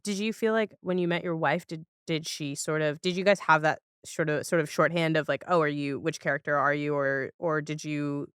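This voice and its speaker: Woman, podcast host, smooth clear voice, casual thoughtful questioning, dry sound. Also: low voice, fairly even intonation, moderate pace, hardly any pauses, a bit bright, no echo. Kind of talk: casual interview chat.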